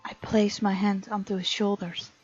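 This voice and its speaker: A woman's voice: mid-range voice, flat delivery, normal speed, darkish tone, breathy edge. A woman hosting a game playthrough, reading visual novel narration aloud and adding her own commentary. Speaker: game let's-play host. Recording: dull sound, music behind. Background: game music.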